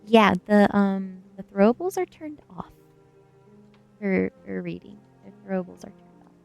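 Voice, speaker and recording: A soft-spoken woman streamer reading a novel aloud in a cozy ASMR style, acting out the dialogue. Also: medium pitch, lively intonation, unhurried, creaky, faint music behind.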